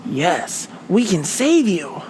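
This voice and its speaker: A woman voicing a loyal, steady young bird in a children's storybook, calm and reassuring. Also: lowish voice, slow, a little hiss or hum, rough recording.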